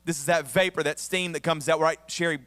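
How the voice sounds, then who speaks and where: A man's voice: a little high, steady tone, fairly quick, fairly bright tone, no room sound, long reverb tail. A male pastor with a Southern American accent preaching a conversational sermon with humorous asides, his voice a bit worn. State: voice somewhat worn, losing his voice. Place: church sanctuary.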